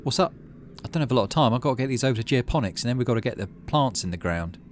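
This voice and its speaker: A man voicing a harried, friendly male colony farm worker in a sci-fi game, busy and excitable. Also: medium pitch, very fast, slight background noise, natural voice.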